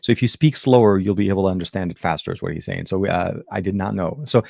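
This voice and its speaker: A man's voice: sits low, quick pace, vocal fry, a little mellow, no echo, studio quality. A middle-aged man from Lebanon, his first language Arabic, hosting a tech show from home, chatting casually with viewers and answering comments in a rambling, friendly way with many fillers and restarts. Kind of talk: casual livestream chat reading viewer comments.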